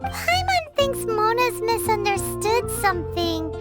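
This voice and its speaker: A tiny floating game companion with a very high, squeaky child's voice. She is eager and chatty, with lively swings in pitch. Loud music plays behind her. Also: a little slow, full character voice. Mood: musing. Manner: speaks of self in third person. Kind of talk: anime video game voice acting.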